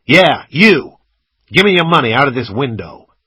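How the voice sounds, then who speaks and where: A man's voice: medium pitch, big pitch swings, moderate pace, sharp tone, husky, no echo, pitched above the performer's own voice, heavily acted. A man voicing a terse, commanding armed robber in an audiobook, threatening his victims. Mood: barking, threatening. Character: menacing male armed robber, first-person hero, commanding. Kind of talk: audiobook character acting.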